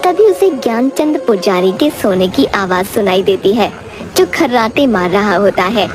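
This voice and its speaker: A woman tells a scripted story as its narrator, with music playing underneath. Her delivery is measured storytelling, set apart from the characters. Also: medium pitch, animated.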